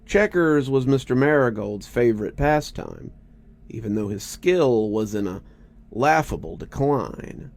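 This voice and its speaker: A man's voice: mid-range voice, lively intonation, measured pace, a little mellow. A man narrating a scripted story in a dramatic reading style. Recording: music bed under narration.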